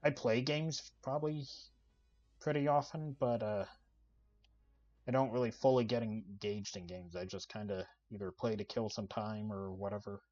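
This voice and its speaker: A soft-spoken man giving casual, relaxed commentary while playing a video game, with lots of "uh" fillers. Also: lowish voice, normal speed, highs cut off. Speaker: gaming channel host. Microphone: desk microphone.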